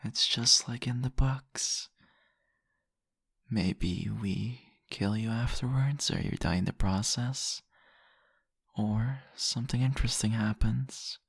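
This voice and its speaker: Seductive vampire host male actor, ASMR roleplay. Gravelly, husky murmur, possessive and teasing, very dry close-up sound. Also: mid-range voice, no echo. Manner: slow, teasing, murmuring, deliberate. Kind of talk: intimate ASMR roleplay addressed to the listener.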